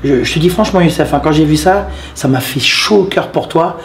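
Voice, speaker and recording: A man hosting a casual interview, effusive and warm in his thanks, often restarting his sentences. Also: on the high side, a little fast, roomy sound. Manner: effusive, repeats thanks and compliments, many restarts. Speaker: interviewer and channel host.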